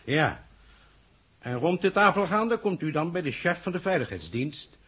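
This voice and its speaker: Een acteur die in een hoorspel uit de jaren zestig een onverstoorbare, ontwijkende regeringsleider speelt, formeel, afgemeten en droog ironisch. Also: male voice, on the high side, very animated, a bit bright, husky, full character voice. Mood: suave, ironic. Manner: formal, measured, dryly ironic, condescending. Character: unruffled, evasive, dryly ironic male head of government. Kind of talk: 1960s Dutch radio drama acting.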